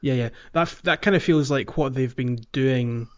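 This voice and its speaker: A man's voice: mid-range voice, normal speed, muffled recording. A man chatting casually and enthusiastically as a podcast host. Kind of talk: casual chat.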